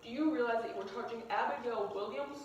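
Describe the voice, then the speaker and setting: A woman's voice: fairly high pitch, fast, lo-fi sound, close to an everyday voice. A woman playing a stern male judge who questions witnesses formally in an amateur puppet-show drama. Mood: questioning, stern. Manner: formal, interrogating. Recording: roomy echo, distant mic, faint noise.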